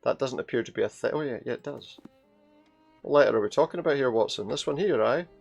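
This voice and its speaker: A man's voice: mid-range voice, quick pace, vocal fry, slightly rough, faint music behind. A British man commenting on a game, reading the on-screen text aloud with sarcastic, sweary asides.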